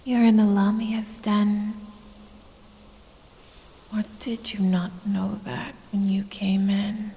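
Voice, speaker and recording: Woman as a slow, whispery, predatory lamia temptress. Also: little pitch movement, warm tone. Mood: sultry, teasing. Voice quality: breathy, whispery. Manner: slow, drawn-out, seductive teasing with low chuckles. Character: sultry predatory female lamia, teasing, coaxing, quietly menacing. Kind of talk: ASMR audio roleplay. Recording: long cavernous reverb.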